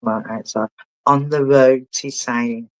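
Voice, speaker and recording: A man working as a spiritual medium, giving a live reading in a halting way and often asking the sitter whether it makes sense. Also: mid-range voice, fairly even intonation, no room sound, low-quality recording. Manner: halting, relays messages and keeps asking the sitter to confirm.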